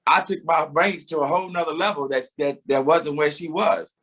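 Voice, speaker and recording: A man's voice: fairly high pitch, fairly even intonation, normal speed, a bit bright, husky. A middle-aged man, a singer, talking reflectively in a casual interview, often laughing and repeating words as he starts a thought.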